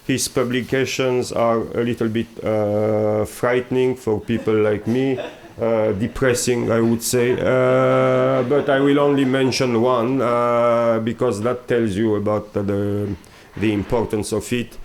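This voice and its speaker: A man moderating an academic symposium, introducing the next speaker in a halting way with many fillers. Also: mid-range voice, flat delivery, darkish tone. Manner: halting, filler-heavy delivery.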